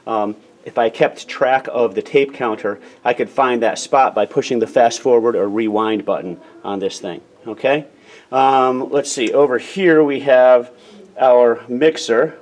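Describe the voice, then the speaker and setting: A man's voice: mid-range voice, darkish tone. An American man giving a casual hands-on demonstration as an instructor, explaining plainly in small steps and checking in as he goes.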